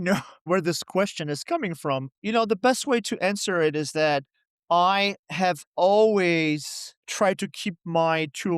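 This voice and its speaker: A man's voice: a little high, smooth, no echo, studio quality. A middle-aged man from Poland, a poet and translator, answering interview questions in a reflective way with many "you know" and "right?" asides.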